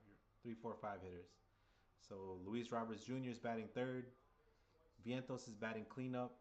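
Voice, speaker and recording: A man giving casual, chatty play-by-play for a live chat, seated at a table at home, sounding under the weather with a voice getting hoarse. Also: fairly low pitch, dull audio. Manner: chatty, hyped, keeps rallying the chat. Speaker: fan livestream commentator.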